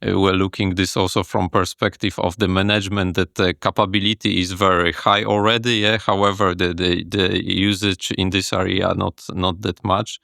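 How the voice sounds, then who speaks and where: A man whose first language is Polish, a guest speaking in a podcast interview, halting with restarts and tagging sentences with yeah. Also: sits low, level tone, creaky, a bit bright, no room sound, studio quality. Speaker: guest manager.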